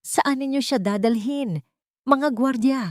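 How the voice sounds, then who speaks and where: A woman's voice: high-pitched, unhurried, no room sound, studio quality, pitched above the performer's own voice. A woman voicing a domineering, angry rich matriarch in an audio drama, with a commanding manner. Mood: angry, alarmed. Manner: shouting, commanding.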